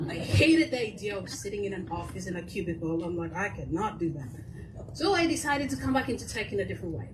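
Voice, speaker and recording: A middle-aged woman with a Kenyan accent, telling her story as a founder in a lively speech to an audience. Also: medium pitch. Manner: lively storytelling, quoting herself. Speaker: nonprofit founder giving a talk. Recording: distant mic, noisy hall.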